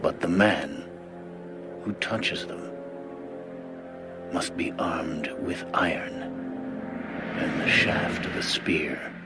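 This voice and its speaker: A man playing an aged king in a dramatized scripture reading, solemn, weighty and deliberate. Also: a bass voice, heavily acted. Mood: solemn, grave. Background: music behind the voice.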